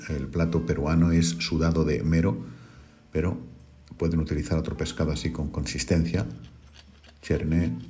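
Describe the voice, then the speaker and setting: A middle-aged man, hosting a home cooking video and calmly talking viewers through each step. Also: rumbling low. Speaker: cooking channel host. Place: home kitchen.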